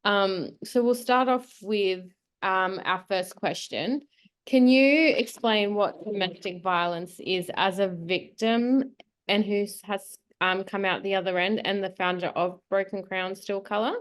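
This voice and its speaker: A woman's voice: medium pitch, a little slow, smooth. A young woman, politely and a little hesitantly asking interview questions. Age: young adult. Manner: polite, slightly hesitant, with frequent ums. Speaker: student interviewer.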